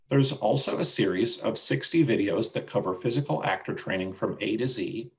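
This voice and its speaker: A man's voice: on the low side, creaky, rough recording. A man presenting a product demo with slides as a company representative over a video call. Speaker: senior account manager presenting a product demo. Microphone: video call microphone.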